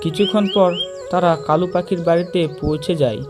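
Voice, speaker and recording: A man's voice: sits high, fairly quick, music in the background. A man reading scripted narration for a children's cartoon story.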